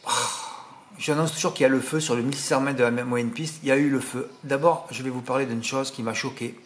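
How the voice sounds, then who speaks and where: A man with a regional French accent talks at a middle pitch, rambling and indignant as he gives his racing tips. He keeps tossing in little tag words. A faint hiss sits under the recording. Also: steady tone, fast talker. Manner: indignant, rambling, full of "hein" and "voilà". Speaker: horse racing tipster. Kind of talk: casual monologue to subscribers.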